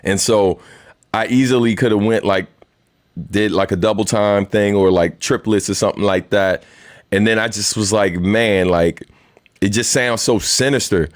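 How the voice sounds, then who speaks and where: A man with a very deep voice hosting a weekly live stream, chatting casually in a laid-back, rambling way with lots of fillers. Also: fairly even intonation, moderate pace, dry recording, studio quality. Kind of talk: casual livestream chat and Q&A.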